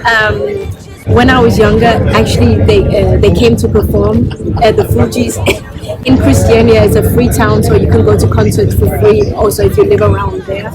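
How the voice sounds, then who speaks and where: A woman from Denmark talks casually as a musician being interviewed, with an expressive way of telling things. Her pitch sits in the middle range, and music plays loudly behind her. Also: normal speed, low-quality recording. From Copenhagen, Denmark. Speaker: singer and rapper being interviewed.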